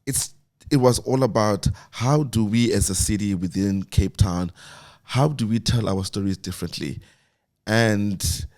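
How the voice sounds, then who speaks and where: A man hosting a podcast, chatty and excitable, often tripping over his words. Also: lowish voice. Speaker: podcast host. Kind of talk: casual chat.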